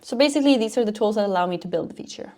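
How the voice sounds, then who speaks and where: A woman from Norway speaks English. She presents as a conference speaker, explaining technical material in an informal, upbeat way. Her voice sits at an average pitch for a woman. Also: lively intonation, racing, dark tone. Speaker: conference speaker, front-end developer and educator.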